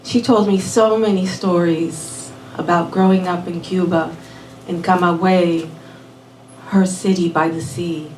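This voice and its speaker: A woman raised in Miami telling a personal story live at a small venue, unhurried and measured, dropping in Spanish words. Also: on the low side, steady tone, darkish tone, slight background noise. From born in Puerto Rico, raised in Miami. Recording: roomy venue audio from a distant mic.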